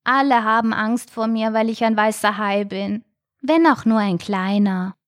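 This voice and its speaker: A gentle little shark boy from a children's storybook, voiced by a woman. His voice is lifted high, and he explains himself with earnest eagerness. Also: a little slow, no echo, studio quality, natural voice. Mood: puzzled, downcast.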